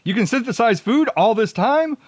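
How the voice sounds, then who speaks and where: A man's voice: very high voice, lively intonation, normal speed, dry recording. A man hosting a comedic radio drama read-through, sardonic and mock-dramatic, slipping into casual asides. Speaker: host and producer.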